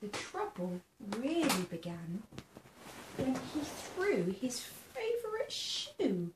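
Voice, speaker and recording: A woman reading a children's picture book aloud in a theatrical, expressive way, engaging young listeners. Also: fairly high pitch. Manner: asks the listening child questions. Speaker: storybook reader. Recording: roomy sound with faint background noise.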